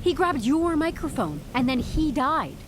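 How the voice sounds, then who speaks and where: A probing, insistent young amateur investigator in an audio drama. Her high voice swings animatedly as she presses for answers with wry persistence. Storm ambience runs clearly behind her. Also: sing-song, unhurried, noisy background. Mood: probing, earnest. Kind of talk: scripted audio drama dialogue.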